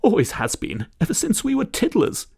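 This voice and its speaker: A man voicing a kindly old lady from a storybook, fussing and gently chiding. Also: high voice, wildly swooping pitch, normal speed, vocal fry, slightly rough, voice pushed up, exaggerated voice. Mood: fussing, teasing.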